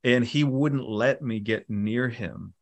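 A middle-aged man talking casually in an interview, relaxed and rambling, with many fillers and restarts. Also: medium pitch, slow. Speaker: musician and producer guest.

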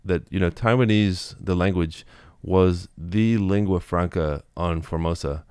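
A man guest in an interview, explaining at length in a relaxed way with many "you know"s and restarts. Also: deep voice, smooth, dry recording, clean studio sound. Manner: quick, thoughtful, full of "you know" and restarts.

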